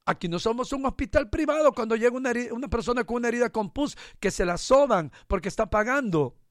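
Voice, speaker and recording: A man's voice: extremely high pitch, animated, fast, no room sound, clean studio sound. A male preacher giving a sermon full of jokes, then leading a fervent prayer. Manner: fervent, joking, conversational.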